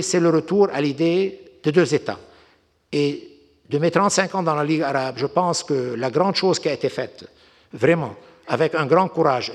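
Older man in a reverberant hall. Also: fairly high pitch. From Lebanon. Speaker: diplomat giving a talk. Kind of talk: unscripted geopolitical lecture with anecdotes.

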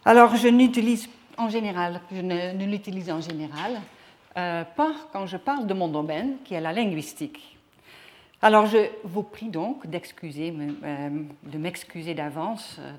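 Careful Dutch-accented woman lecturing. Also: on the low side. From Netherlands. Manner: careful, hesitant, with frequent pauses. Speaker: guest lecturer. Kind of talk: formal academic lecture. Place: lecture hall.

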